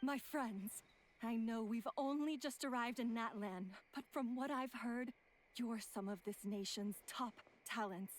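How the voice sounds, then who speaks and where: A woman's voice: on the high side, a little slow, a little hiss or hum, lightly acted. A woman voicing a worried, polite young traveller in a video game with an earnest delivery.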